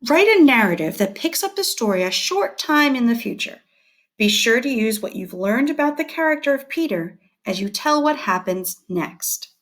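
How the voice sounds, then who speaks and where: A woman teacher reading scripted instructional material aloud, setting out headings and short points in a measured, deliberate way. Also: fairly high pitch, big pitch swings, a bit bright.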